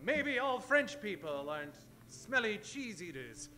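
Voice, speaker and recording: Comic radio Western lead , male actor: pompous bumbling hero, high voice, very animated delivery, hammy. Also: unhurried, faint music behind, exaggerated voice. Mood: self-important. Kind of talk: comic old-time radio acting.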